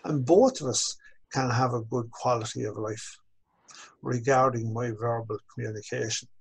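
A man in his sixties with an Irish accent, a course instructor telling his own story slowly and reflectively on a video call from a home office. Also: medium pitch, gravelly, slightly rough. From Ireland. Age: 60s. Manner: measured, reflective, slows down on key phrases. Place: home office with bookshelves, indoors. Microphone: video call webcam.

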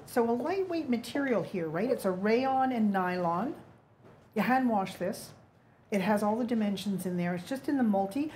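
A woman's voice: fairly high pitch, lively intonation, moderate pace, a little mellow, slight background noise. A woman co-hosting a live fashion sales stream with casual, conversational talk. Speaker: off-camera co-host of a fashion shopping livestream. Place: indoor studio, behind the camera.

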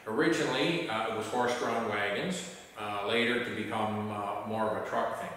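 A man in his fifties giving a relaxed documentary interview in an empty small theatre, with frequent fillers. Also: on the low side, normal speed, a little hiss or hum. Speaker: theatre representative. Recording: very echoey hall with music behind.

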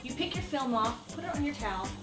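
A high-voiced woman hosts a cooking demonstration in her kitchen. She is chatty and playful, laughs a lot and makes little sound effects as she works. The kitchen is echoey and music plays behind her. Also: expressive, fast, far from the mic. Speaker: cooking show host. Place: home kitchen.

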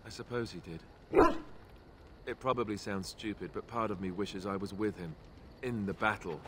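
An actor playing an earnest, awkward young warrior companion in a fantasy video game, hesitant and sincere. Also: male voice, lowish voice, steady tone, moderate pace, a bit bright, slight background noise, lightly acted. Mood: grieving, regretful.

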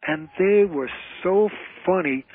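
An older man chats as a radio interview guest, sharing stories in a casual way. His voice is rough and husky, and it comes through thin and narrow, like a telephone call. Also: high-pitched, takes it slowly. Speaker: guest, author and comedy emcee. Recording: tinny, narrow phone-line sound.

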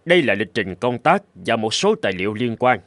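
A man's voice: lowish voice, sing-song, brisk, fairly bright tone, no echo. A man voicing a chatty, encouraging senior office colleague in a cartoon, with a friendly, confiding manner. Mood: businesslike. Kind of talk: cartoon dubbing.

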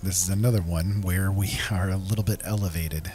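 A man hosting a gaming channel, giving casual let's-play commentary in a measured, explanatory, halting way. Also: deep voice, fairly even intonation, mellow, soft background music.

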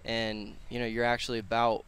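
A man's voice: mid-range voice, monotone, brisk, a bit bright, smooth. A man in his twenties talking casually and earnestly as an interview guest, rambling and slangy, on a headset mic outdoors. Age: 20s. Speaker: podcast guest, personal trainer and gym owner. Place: outdoors on a concrete patio.

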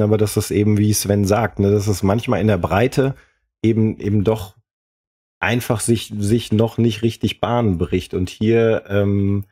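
A man's voice: on the low side, mellow, slightly rough. A man co-hosting a podcast, chatting casually and often correcting himself as he explains. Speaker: podcast co-host.

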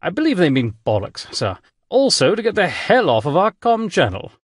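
A man voicing a genteel British admiral with a distinguished English accent and deadpan wit. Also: high-pitched, moderate pace, no room sound, clean studio sound, voice pushed up, heavily acted. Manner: deadpan, gentlemanly, cheerful.

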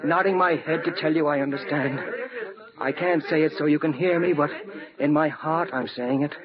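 A man's voice: a little high, normal speed, crowd noise, close to an everyday voice. An actor playing a bedridden man who cannot speak, pleading in his thoughts in an old radio drama. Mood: tender. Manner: pleading, breaking into anguished outbursts. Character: mute bedridden wounded man, desperate inner voice. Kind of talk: 1940s radio drama inner monologue. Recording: muffled old radio recording with hiss.

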